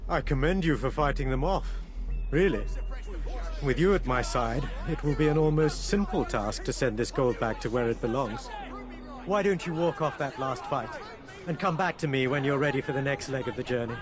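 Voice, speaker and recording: A man's voice: medium pitch, animated, normal speed, natural voice. A man playing a courteous, refined Indian maharaja in a video game, with an Indian accent and formal, gallant manners. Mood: courteous, warm. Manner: formal, polite, gallant turns of phrase. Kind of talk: video game cutscene acting. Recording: loud music behind the voice.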